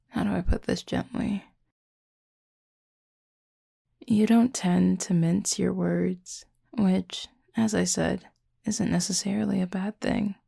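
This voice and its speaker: Woman as a husky, gravelly, flirty werewolf. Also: medium pitch, dark tone, dry recording, clean studio sound, heavily acted. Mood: fond, teasing. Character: flirty, teasing werewolf pack leader, confident and protective. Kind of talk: intimate audio roleplay.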